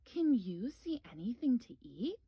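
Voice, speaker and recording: Storybook granny bear played by a woman: patient, kindly, encouraging, slow delivery, dry sound. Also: fairly high pitch, very animated, slightly rough, no echo, exaggerated voice. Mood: patient, teaching. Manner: gentle, encouraging, unhurried. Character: kindly, patient grandmother bear who teaches gently. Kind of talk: children's storybook read-aloud.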